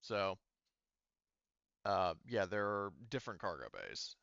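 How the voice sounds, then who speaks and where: Guy game mastering, clear smooth voice, stop-start thinking out loud, dry slightly muffled audio. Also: sits low, sing-song, no echo. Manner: halting, thinking out loud, repeating himself. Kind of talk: running a tabletop roleplaying game, casual explaining.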